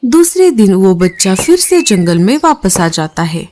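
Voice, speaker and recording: A woman's voice: mid-range voice, sing-song, a little slow, a little mellow. A woman narrating a scripted children's story as a storyteller. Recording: clear rain noise behind voice.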